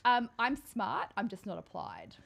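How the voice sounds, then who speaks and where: A young woman from Australia whose accent has picked up a New Zealand tinge. She talks in an easy, chatty way and pokes fun at herself, at an ordinary, mid-range pitch. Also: huge pitch swings, measured pace, gravelly, fairly bright tone. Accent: Australian, partly New Zealand-tinged. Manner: chatty, self-deprecating, laughs easily. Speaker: podcast guest, style coach. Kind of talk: casual chat.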